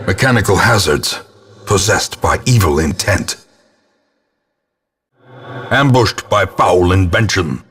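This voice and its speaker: An actor voicing a grim, ominous old ghostly ancestor as a gothic horror game narrator, solemn and theatrical, with a gravelly, husky voice. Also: male voice, low-pitched, expressive, a bit bright, full character voice. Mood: grim foreboding. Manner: solemn, portentous, deliberate delivery.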